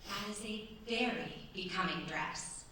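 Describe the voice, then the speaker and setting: Woman playing a sharp, tart sister-in-law, echoing stage. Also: medium pitch, measured pace. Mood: coolly polite. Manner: cutting, drily formal. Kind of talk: staged radio play acting. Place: theatre stage at a cultural centre. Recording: very echoey stage, distant mic, faint noise.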